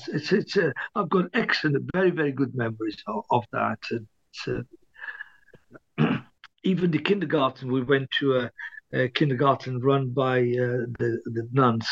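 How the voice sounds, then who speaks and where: An older man from Libya, an author, recalling his past in an interview with many restarts and much throat clearing. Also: mid-range voice, moderate pace, no echo, highs cut off. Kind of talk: hesitant interview reminiscence.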